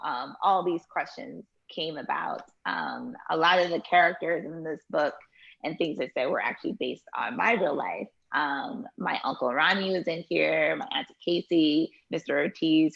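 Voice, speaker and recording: A woman reading her own children's story aloud in an expressive storytelling manner, then answering questions in a relaxed way with fillers. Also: deep voice, creaky. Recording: dull lo-fi recording.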